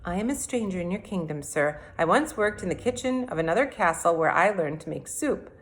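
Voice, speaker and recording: A woman's voice: on the low side, animated, brisk, natural voice. A woman voicing a meek, guarded young princess disguised as a kitchen maid in a storybook, polite and deferential.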